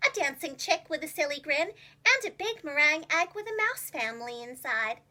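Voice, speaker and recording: A woman with a high, bright voice reads a storybook to young children. Her delivery is expressive and very animated, with each phrase carefully enunciated like a preschool teacher's.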